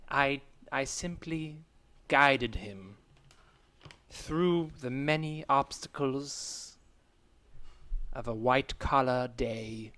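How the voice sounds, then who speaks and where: A man voicing a serene, mystical woman who guides office workers through meditation, in a slow, soothing, solemn way, in improvised comedy. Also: medium pitch, sharp tone, smooth. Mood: serenely assured. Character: serene, mystical female corporate wellness guru.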